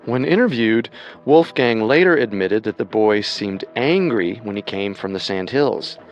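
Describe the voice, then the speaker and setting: A man narrating a scripted true-crime story with measured, dramatic storytelling. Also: on the low side, lively intonation, muffled recording, faint music behind.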